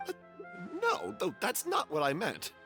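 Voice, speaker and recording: A man's voice: high voice, wildly swooping pitch, a little slow, over music, exaggerated voice. A man playing a humble, self-doubting stage performer in a video game, speaking earnestly. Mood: flustered. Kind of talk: video game character acting.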